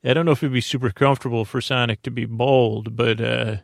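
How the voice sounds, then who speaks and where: A man with a gravelly, creaky voice drifts from thought to thought in an unhurried bedtime ramble. He trails off and restarts constantly, filling the gaps with soft "uh"s. The sound is dry and close, with no room around it. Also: medium pitch, steady tone, no echo. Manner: meandering, many fillers and restarts. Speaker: podcast host. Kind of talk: rambling sleep-podcast monologue.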